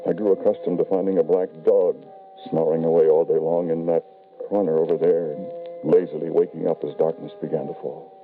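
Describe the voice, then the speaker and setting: An actor playing an anguished, haunted father with a grave, hesitant, dramatic delivery in old-time radio horror style. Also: low-pitched. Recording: noisy, tinny vintage radio broadcast.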